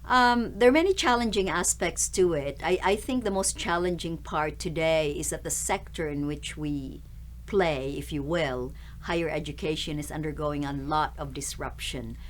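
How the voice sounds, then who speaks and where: A middle-aged woman from the Philippines, a university president, answering interview questions in a measured, articulate way. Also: sits low, slight background noise.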